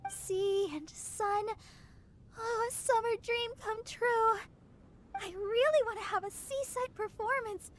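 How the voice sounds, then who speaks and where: A woman's voice: very high voice, slow, a little hiss or hum. A woman voicing a sweet, bubbly and dreamy teenage girl in an anime-style video game. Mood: dreamy, cheerful. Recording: light music behind.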